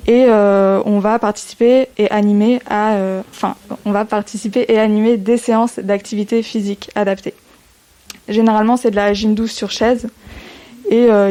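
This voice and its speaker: A woman's voice: mid-range voice, warm tone. A woman aged twenty-one from eastern France, explaining things informally in an interview. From Bourg-en-Bresse, eastern France. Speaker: civic service volunteer.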